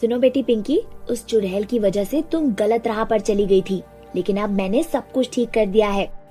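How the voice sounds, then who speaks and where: A woman's voice: mid-range voice, moderate pace, dark tone, soft background music, natural voice. A woman voicing a righteous fairy in a cartoon tale, admonishing and motherly. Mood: kindly, admonishing. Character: righteous, stern but kindly female fairy.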